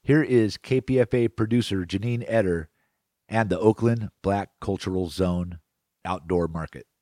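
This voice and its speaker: Low-voiced man hosting radio. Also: normal speed, dark tone, no room sound, studio quality. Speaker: radio magazine host. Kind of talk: radio presenting, scripted narration.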